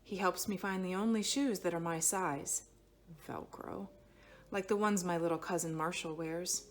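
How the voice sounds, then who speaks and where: A woman reading a children's picture book aloud expressively, with dramatic phrasing that stretches some words and hushes others. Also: lowish voice, fairly even intonation, moderate pace. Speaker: storybook reader.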